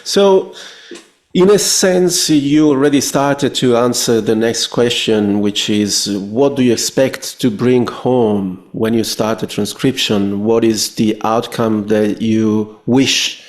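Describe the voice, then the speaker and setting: Man, podcast host, very slow pace, lowish voice, measured questions. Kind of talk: interview questions.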